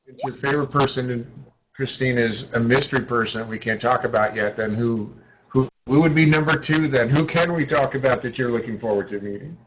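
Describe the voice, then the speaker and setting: A man hosting a casual radio interview, asking relaxed, easygoing questions. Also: mid-range voice, a little fast, poor audio. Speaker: radio host.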